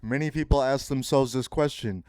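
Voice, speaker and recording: A man's voice: mid-range voice, moderate pace, a little mellow, clear, resonant tone, no room sound. Man rambling grandly, dry close sound. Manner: grandiose, pseudo-philosophical preaching with sudden profanity; repetitive, circling phrasing. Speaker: podcast host. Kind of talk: rambling solo podcast monologue.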